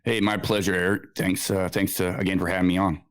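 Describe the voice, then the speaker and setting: A man's voice: sits low, flat delivery, fast talker, no echo, studio quality. A man in his forties, born in Scotland, telling his story as a podcast guest, rambling with many fillers and restarts. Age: 40s. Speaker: guest researcher. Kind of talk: casual interview storytelling.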